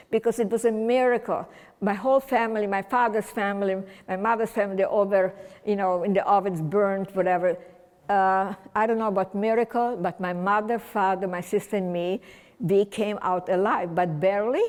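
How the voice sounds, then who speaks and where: A woman's voice: medium pitch, darkish tone. An elderly woman in her eighties, a native German speaker, shares her survival story with a community gathering. Her delivery is casual and winding, full of restarts and wry asides. Her voice carries a long, ringing hall reverb. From Romania. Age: 80s. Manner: conversational and meandering, with frequent restarts and wry humor. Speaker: Holocaust survivor speaking at a remembrance event. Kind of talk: personal testimony talk to an audience.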